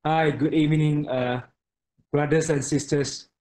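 A man's voice: a little high, fairly even intonation, unhurried, darkish tone. Hesitant man presenting, Mandarin native. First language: Mandarin Chinese. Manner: hesitant, many fillers and restarts, frequent "okay?". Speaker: product presenter. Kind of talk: online product webinar. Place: home study with bookshelves. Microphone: webcam on a video call.

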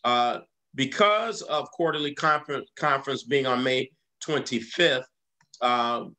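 Halting middle-aged pastor giving announcements. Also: male voice, mid-range voice, sharp tone. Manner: halting, many "uh" fillers. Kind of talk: church announcements. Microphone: video call.

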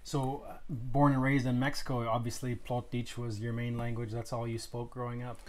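Relaxed, chatty podcast host man. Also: mid-range voice, moderate pace. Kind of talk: casual conversational interview. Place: small wood-paneled room indoors.

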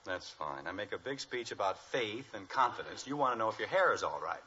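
A man's voice: lowish voice, sing-song, brisk, sharp tone, a little hiss or hum. An actor playing a wry, patient middle-aged American father in a 1950s radio sitcom, with dry, teasing delivery. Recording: dull old radio recording.

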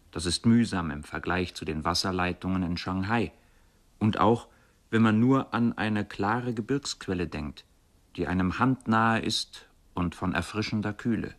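An actor playing a reflective man from a poor village, telling his memories in a measured literary radio monologue. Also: fairly low pitch, natural voice.

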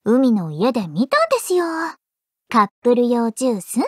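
High, bubbly anime girl. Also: wildly swooping pitch, normal speed, no echo, studio quality. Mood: relaxed, sweet. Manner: playful, coy, cutesy. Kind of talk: Japanese anime mobile game character lines.

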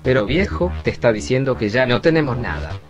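A man's voice: mid-range voice, animated, a little fast, dull audio, over music, lightly acted. A man playing an argumentative, earnest young son who works in a shop, in an amateur drama dub. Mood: alarmed. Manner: questioning, earnest. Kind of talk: amateur Spanish dub acting.

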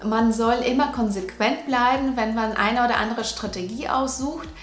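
A woman's voice: on the high side, moderate pace, faint music behind. A woman, talking to camera at home and giving earnest parenting advice. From Russia. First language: Russian. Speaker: parenting vlogger. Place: living room at home. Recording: roomy home echo.

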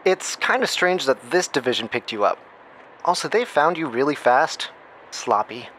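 A man's voice: medium pitch, lively intonation, normal speed, natural voice. Man acting a rambling, awkward hacker, faint noise. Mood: brisk, excited. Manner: rambling, flippant, self-interrupting. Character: chatty, awkward hacker friend leaving a secret recorded message. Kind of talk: horror audio drama acting.

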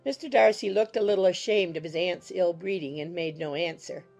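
Low-voiced, creaky woman narrator. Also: brisk, a little mellow, soft background music. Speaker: audiobook narrator. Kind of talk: scripted literary narration.

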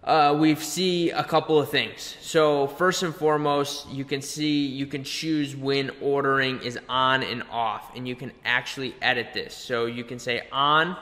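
A young man presenting a conversational software tutorial, explaining step by step with little check-in questions. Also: mid-range voice. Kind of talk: conversational screen-share tutorial. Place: indoor room, webcam beside screen capture. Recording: long reverberant room echo.

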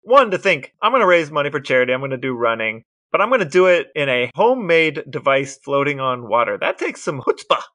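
A man co-hosting a podcast, telling a story in a lively, wry way in casual chat. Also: on the high side, a little fast, hardly any pauses. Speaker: podcast co-host.

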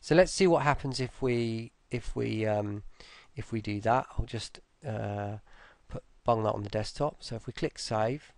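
A man walks through software in an informal, think-aloud style. The voice has a gravelly fry at an average pitch, and the recording is dry, with very little room sound. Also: dry recording. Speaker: software tutorial presenter. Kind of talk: casual screen-recorded walkthrough.

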